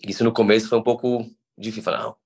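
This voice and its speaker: A man's voice: on the low side, level tone, racing, vocal fry, slightly rough. A man in his thirties from São Paulo with a São Paulo accent, a guest who explains things patiently and often restarts his words. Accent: São Paulo Brazilian Portuguese. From Brazil. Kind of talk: conversational interview.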